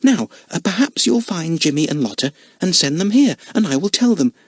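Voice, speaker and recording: Guy voicing a kind mum, higher voice, bouncy, a bit husky. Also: sing-song, moderate pace, no room sound, clean studio sound, full character voice. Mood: kindly. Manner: gentle and kindly. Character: warm motherly woman running a caravan home.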